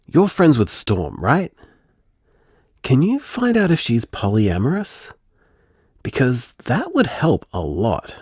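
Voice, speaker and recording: Excitable matchmaker dude in audio drama, chatty n dramatic, lively pitch, bit husky. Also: male voice, dry recording, studio quality, full character voice. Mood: frantic pleading. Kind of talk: audio drama voicemail acting.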